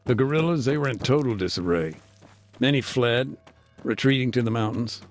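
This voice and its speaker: A tired male aid worker from a military video game, played by a man. He speaks in a measured, laconic way and pauses to think. Faint music plays underneath. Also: mid-range voice, close to an everyday voice. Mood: weary. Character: weary male aid worker recounting events. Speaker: aid worker being interviewed. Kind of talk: game cutscene dialogue.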